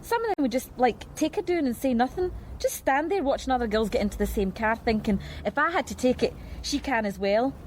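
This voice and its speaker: Radio drama: actress as a chatty Scottish street worker, fast and animated, street noise behind. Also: female voice, on the high side, natural voice. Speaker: street sex worker questioned by police.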